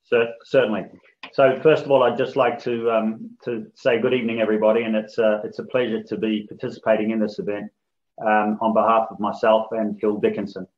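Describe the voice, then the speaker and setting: A man from New Zealand, head of a law firm office, giving a measured, formal business presentation over a video call with frequent ums. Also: fairly low pitch, level tone, muffled recording.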